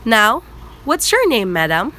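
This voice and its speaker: A woman's voice: fairly high pitch, huge pitch swings, measured pace, pushed and bright, heavily acted. A woman playing an officious, skeptical telephone supervisor in an amateur radio play, bureaucratic and doubting. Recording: noticeable background noise.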